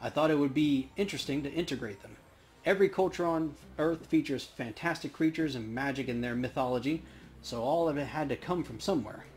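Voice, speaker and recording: A man's voice: fairly high pitch, brisk, lightly acted. A man playing the ghost of an old veteran storyteller in a video game, rambling and wry. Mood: reminiscing.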